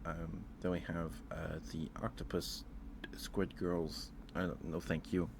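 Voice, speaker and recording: Male ASMR streamer, deep register, informal halting delivery, audibly noisy recording. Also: level tone, gravelly. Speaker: live streamer. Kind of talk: casual ASMR livestream chat.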